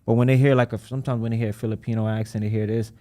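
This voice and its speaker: A man who runs a credit repair business, talking casually in an interview and acting out his callers in quick voices. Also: on the low side, little pitch movement, mellow, no room sound. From United States.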